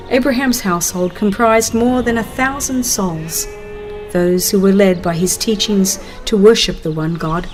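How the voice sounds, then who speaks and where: A woman reading a religious book aloud as dramatized scripted narration, solemn, earnest and measured. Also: mid-range voice, darkish tone. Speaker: audiobook narrator.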